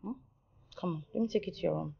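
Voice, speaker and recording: An actress playing a weary, protective woman who speaks gently and quietly to a child in film dialogue. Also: lowish voice, fast, gravelly, dull audio, background noise. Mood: weary, soothing.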